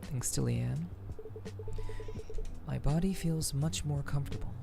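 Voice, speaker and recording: Woman playing a reserved, low-voiced weary woman. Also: a little slow, vocal fry, heavily acted. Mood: tired, calm. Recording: music behind the voice.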